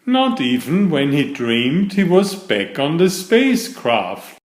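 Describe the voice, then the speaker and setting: Slow, lively male storybook reader. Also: fairly high pitch. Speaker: children's story reader and author. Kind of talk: scripted storybook narration, read aloud. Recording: roomy, echoing sound.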